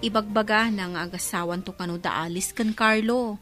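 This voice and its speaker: A woman's voice: medium pitch, fairly even intonation, moderate pace, fairly bright tone, faint music behind, close to an everyday voice. An actress playing an inquisitive, accusing village woman in a radio drama. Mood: gossiping, accusing.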